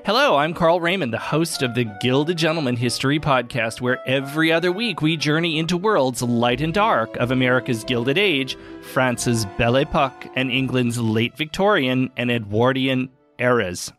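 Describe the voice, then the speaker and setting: A man's voice: mid-range voice, normal speed, a bit bright, faint music behind. A man hosting a podcast, speaking in an effusive, formal way with theatrical phrasing. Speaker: podcast host. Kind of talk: warm-up narration and interview. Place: club library for the talk.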